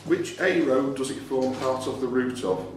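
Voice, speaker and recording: A man's voice: mid-range voice, normal speed. A man acting as quizmaster, reading quiz questions aloud to a live room in a club. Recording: roomy, distant microphone, faint background noise.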